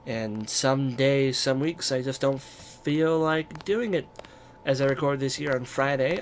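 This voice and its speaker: A stuffy-nosed American man gives loose, wandering commentary over his gameplay at a mid-range pitch. He sounds congested and a little weary, filling his pauses with ums. A steady hiss of background noise sits under his voice. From United States. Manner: weary, rambling, many ums. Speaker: let's play host.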